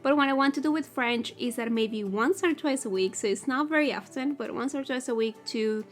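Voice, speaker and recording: Chatty woman, higher voice. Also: fairly quick. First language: Spanish. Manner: chatty and candid, with filler "like". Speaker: language-learning vlogger. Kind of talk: casual explanatory vlog.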